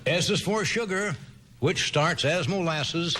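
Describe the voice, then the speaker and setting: A man's voice: on the high side, slow. A man hosting a late-night talk show, reading comic rhymes and teasing his guest in interview chat. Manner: wry, teasing, lively delivery. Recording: noisy broadcast with crowd. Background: studio audience laughter.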